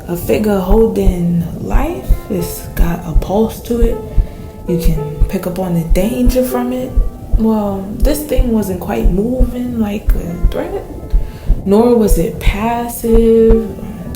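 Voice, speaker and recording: A woman playing a shaken, spiritual fisher in a radio play, recounting a brush with death in halting, dramatic fashion with pauses and repetition. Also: medium pitch. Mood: hushed awe. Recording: roomy echo with music underneath.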